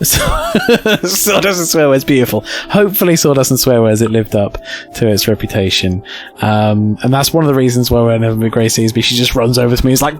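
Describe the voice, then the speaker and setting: A man's voice: mid-range voice, fairly quick, soft background music. A British man streaming to a live chat, telling casual comedic stories with crude, self-mocking humour and acting out the dialogue. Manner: acts out dialogue with excited outbursts. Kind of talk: animated casual comedic storytelling.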